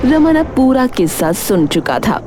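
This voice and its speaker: A woman reading scripted story narration for an animated tale. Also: high-pitched, measured pace. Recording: clear background music under voice. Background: background music.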